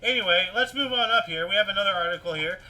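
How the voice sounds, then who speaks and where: A man hosting a livestream news show, commenting casually in an animated, rambling way and often repeating himself. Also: high-pitched, fast talker, faint music behind, poor audio. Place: indoors at a computer with webcam.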